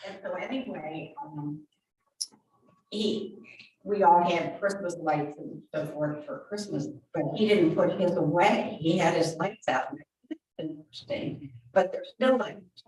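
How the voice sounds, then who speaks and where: A woman with a very low voice tells a chatty story from the audience. She sits well away from the microphone, and the sound is roomy and echoing. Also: expressive, measured pace, a little mellow. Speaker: audience member. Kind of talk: telling an anecdote. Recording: roomy, from far off the mic.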